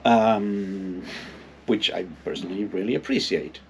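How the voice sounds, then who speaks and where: A man giving a casual, unscripted book commentary in a halting, rambling way, with plenty of filler phrases. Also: on the low side, a little hiss or hum, poor audio. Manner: halting, hesitant, rambling delivery with frequent hedging filler phrases. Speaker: book reviewer and readalong host.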